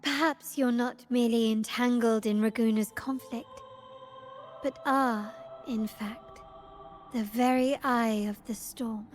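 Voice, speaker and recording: An enigmatic stranger. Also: female voice, on the high side, fairly even intonation, in a large hall, soft background music. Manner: slow, measured, enigmatic. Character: mysterious poised woman stranger, cryptic. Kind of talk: game voice acting.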